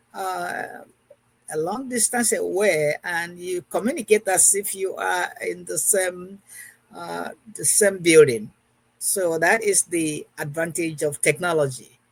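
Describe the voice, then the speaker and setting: A woman in her sixties talks through a casual recorded lesson as a math teacher. Her voice has a husky, slightly breathy edge. She circles back, repeating and rewording each point as she goes. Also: on the low side. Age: 60s.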